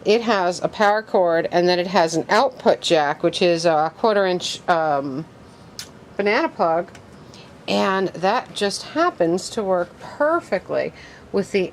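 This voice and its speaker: A woman giving a casual show-and-tell of her collection, excited and gushing, with halting delivery. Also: lowish voice, a little hiss or hum. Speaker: record player collector, vlogger.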